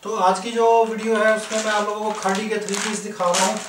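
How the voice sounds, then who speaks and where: High-voiced Pakistani salesman, roomy distant sound. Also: little pitch movement, fast talker. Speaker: shop seller, video host. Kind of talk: informal product demonstration sales talk. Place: indoor room, goods spread on floor. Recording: roomy, distant mic, faint noise.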